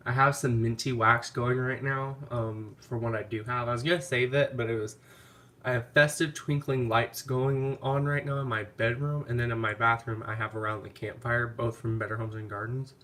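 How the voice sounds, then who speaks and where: A man's voice: medium pitch, a bit bright. A man with a stuffy, nasal voice from clogged sinuses, chatting casually and hesitantly through a haul video at home, with many ums along the way. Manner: rambling, hesitant, full of ums. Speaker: candle vlogger.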